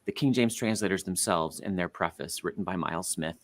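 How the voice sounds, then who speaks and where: A man's voice: low-pitched, fairly quick. A man in his forties, a guest author, explaining things animatedly in a podcast conversation, with frequent fillers. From United States. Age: 40s.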